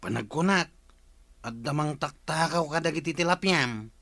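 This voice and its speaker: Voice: mid-range voice, moderate pace, a bit bright, dry recording. An actor playing a defensive fish-pond owner in a radio comedy drama.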